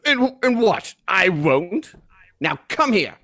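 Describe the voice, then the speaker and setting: A man's voice: high-pitched, very animated, slow, gravelly, bright, forceful tone, no echo, heavily acted. Man playing a sneering, swooping schoolboy bully. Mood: mocking, threatening. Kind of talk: live script reading of radio drama.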